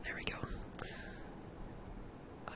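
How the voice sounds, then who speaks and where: A person giving an ASMR software lesson in a breathy, unvoiced whisper, explaining step by step in an instructional way. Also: slow. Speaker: ASMR tutorial host.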